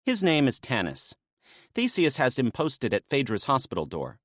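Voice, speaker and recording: A man voicing a sharp-tongued, bossy female maenad with a dry, mocking manner. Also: medium pitch, huge pitch swings, normal speed, no echo, voice pushed up. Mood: sarcastic.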